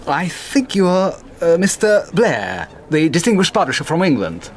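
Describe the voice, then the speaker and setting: A halting, polite contact. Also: male voice, on the high side, big pitch swings, slight background noise. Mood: courteous. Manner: halting, courteous, many hesitations. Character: hesitant, polite Russian contact man.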